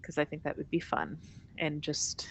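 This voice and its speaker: Woman streamer, low-ish voice, halting and giggly, casual chat. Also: big pitch swings, vocal fry, husky, low-quality recording. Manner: rambling, halting, lots of fillers and giggles. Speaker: streamer and co-host.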